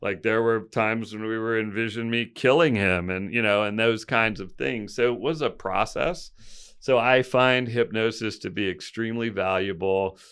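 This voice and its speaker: Smooth deep-voiced man in his fifties. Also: fairly bright tone. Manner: reflective, open, with halting restarts. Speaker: podcast guest. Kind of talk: candid conversational storytelling.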